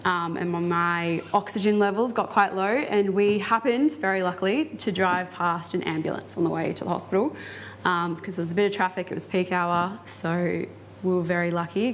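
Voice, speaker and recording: A woman's voice: fairly low pitch, steady tone, a little fast, a little hiss or hum. A woman telling an audience about her own experience, casual and hesitant with many fillers. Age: 20s. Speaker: invited speaker. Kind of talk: personal account to an audience.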